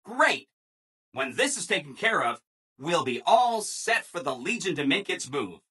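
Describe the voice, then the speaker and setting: An actor playing a relentlessly cheerful, eager-to-please robot assistant in a video game, chirpy and over-eager, with exaggerated emphasis. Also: male voice, on the high side, very animated, unhurried, bright, forceful tone, breathy edge, full character voice. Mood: gleeful, eager. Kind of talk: animated video game character dialogue.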